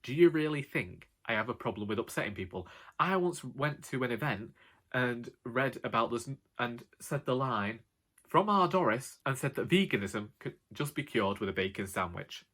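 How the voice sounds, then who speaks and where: A British man with a loud voice rambling casually to camera in a wry, sarcastic way, like a vlogger. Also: medium pitch, lively intonation, moderate pace. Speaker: vlogger and author.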